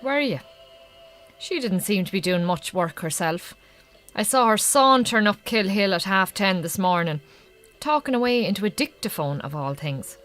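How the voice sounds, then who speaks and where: An actress playing a suspicious, prickly Irish farmer's wife, with a sharp, sarcastic way of talking, in a naturalistic radio drama. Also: female voice, medium pitch, animated, moderate pace, soft background music, lightly acted. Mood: suspicious, hurt.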